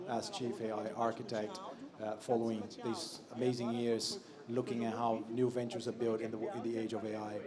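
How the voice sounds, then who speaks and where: A man's voice: mid-range voice, flat delivery, moderate pace. A man from Brazil with a Brazilian accent, speaking professionally as a panelist on stage. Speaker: panelist, AI consultant. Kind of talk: professional self-introduction on a panel. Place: stage at a conference. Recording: audible crowd in event hall.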